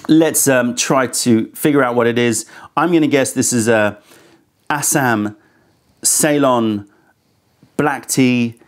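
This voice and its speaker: A man's voice: mid-range voice, slow, pauses often. A man in his thirties hosting a casual tea tasting review, thoughtful and candid, a bit critical, speaking into a lapel mic in an indoor studio. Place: indoor studio set with sofa.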